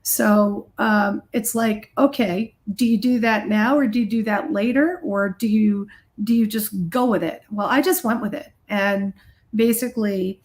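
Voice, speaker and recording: A woman's voice: medium pitch, darkish tone. Candid middle-aged woman chatting casually. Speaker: guest, rock guitarist and recording artist. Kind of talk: casual interview chat.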